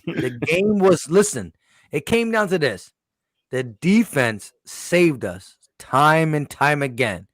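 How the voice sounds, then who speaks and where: A man's voice: a little high, big pitch swings, slow pace, fairly bright tone, no echo. A man hosting a postgame sports talk show, emphatic and insistent, nearly losing his voice. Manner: emphatic, repeats "listen". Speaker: sports podcast host.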